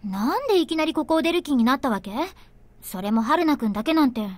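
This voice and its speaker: A woman's voice: sits high, lively intonation, rapid-fire, a little mellow, no room sound. A woman voicing a capable, headstrong young woman in a visual novel, with a casual, questioning manner. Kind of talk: Japanese visual novel voice acting.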